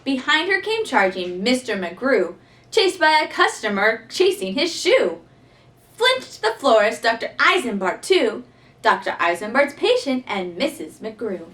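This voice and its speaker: A woman reading a rhyming children's story aloud in an expressive, theatrical style. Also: a little high, a little slow. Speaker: storybook reader. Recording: voice somewhat distant from mic.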